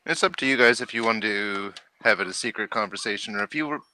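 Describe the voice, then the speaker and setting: A man's voice: on the low side, fast, sharp tone, a little hiss or hum. A man running a tabletop game, chatting casually with his players as game master.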